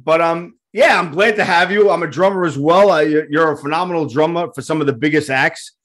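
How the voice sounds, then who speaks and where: A higher-pitched man in his fifties talks with a New York accent, full of streetwise, joking banter as he hosts. He speaks into a headset on a video call from a home studio. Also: brisk, fairly bright tone. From Queens, New York. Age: 50s. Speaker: host. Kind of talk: casual interview chat.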